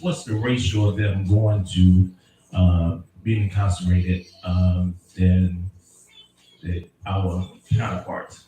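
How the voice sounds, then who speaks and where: A man hosting an interview, asking questions in a halting way and repeating his words. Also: deep voice, level tone, mellow. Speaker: interview host. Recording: echoey room, distant mic, faint noise.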